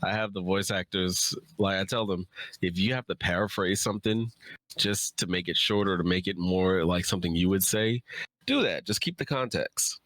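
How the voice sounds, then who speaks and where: A man's voice: deep voice, moderate pace, a bit bright, no room sound. A man in his thirties chatting casually and informally as an author on a livestream Q&A. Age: 30s.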